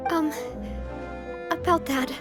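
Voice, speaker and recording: Gracious young female boss for an anime game, bright-pitched and lively, faint music behind. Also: slow pace. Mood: composed.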